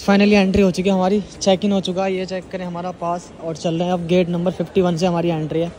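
A young man from India vlogging his trip through an airport onto a plane, chatty and excited, talking to his viewers as guys and mixing in English words. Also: sits high, fast talker, background noise. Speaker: travel vlogger. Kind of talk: excited handheld travel vlog. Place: airport terminal and plane cabin, indoors.

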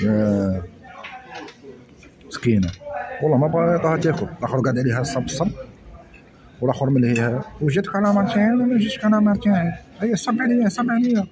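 A man's voice: mid-range voice, poor audio. A man with an Oran Algerian Arabic accent chatting casually while eating, filming his meal on a phone at an outdoor restaurant. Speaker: food vlogger. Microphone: phone camera held over the plate.

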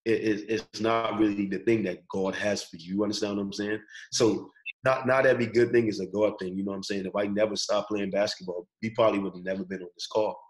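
A man's voice: fairly low pitch, fairly even intonation, fast talker, rough recording. A young man from the Bahamas with a Bahamian accent, talking casually as a guest on a video-call interview, laid-back and peppering his talk with "bro" and "you know.". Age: young adult. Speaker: student athlete guest. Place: small indoor room. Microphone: webcam on video call.

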